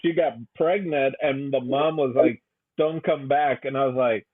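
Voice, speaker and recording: A man's voice: mid-range voice, fairly even intonation, unhurried. A man co-hosting a casual movie review podcast, rambling with many fillers and frequent laughs. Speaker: podcast co-host. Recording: tinny phone-like sound.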